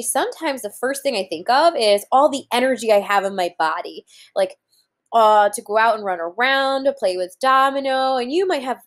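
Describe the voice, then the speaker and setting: A woman in her thirties reading aloud to young children as a teacher, pausing to explain things simply and deliberately. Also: medium pitch. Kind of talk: children's read-aloud lesson with explanatory asides. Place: room at home, indoors.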